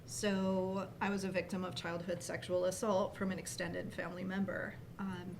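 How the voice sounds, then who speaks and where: A woman interview guest who speaks earnestly and at a measured pace, her voice gravelly. Her tone stays level with little rise and fall, steady and controlled. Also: lowish voice, background noise. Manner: measured, earnest personal storytelling. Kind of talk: interview answer.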